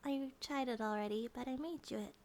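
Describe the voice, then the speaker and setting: A high, sweet-natured young bear-girl wife, acted by a woman in an intimate ASMR roleplay. She is doting and playful, rambling gently and slipping into soft, breathy near-whispers. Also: brisk, noisy background. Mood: caring, cheerful.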